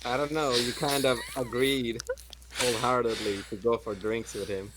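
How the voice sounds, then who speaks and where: A man's voice: medium pitch, steady tone, normal speed, background noise. A man playing a tabletop game, bantering casually and in character with dry jokes. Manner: dry, joking.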